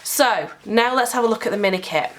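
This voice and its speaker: A woman's voice: on the high side, brisk. A British woman with a cold, chattily walking through her planner sticker designs in a product vlog. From United Kingdom. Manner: chatty, explaining item by item. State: sniffly with a cold. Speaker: sticker shop owner.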